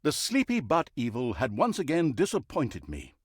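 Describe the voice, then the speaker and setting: Grandiose, sardonic game narrator, wildly animated. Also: male voice, mid-range voice, normal speed, dry recording, studio quality. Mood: mocking, dry. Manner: pompous, dryly mocking, dramatic emphasis. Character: grandiose, sardonic male storyteller of an evil overlord's deeds. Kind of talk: theatrical scripted storybook narration.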